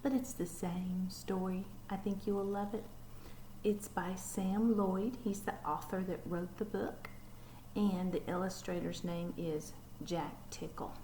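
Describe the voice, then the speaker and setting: Slow gentle woman reading to children. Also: medium pitch, fairly even intonation, slight background noise, rough recording. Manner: gentle, asks young listeners questions, drops to whispers. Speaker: teacher reading aloud. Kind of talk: storybook read-aloud to children.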